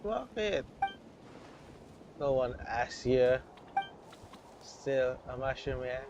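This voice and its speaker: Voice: mid-range voice, lively intonation, drawn-out words, background noise. A game streamer with a Filipino accent giving casual livestream commentary in short, murmured asides. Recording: loud game music behind. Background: game music.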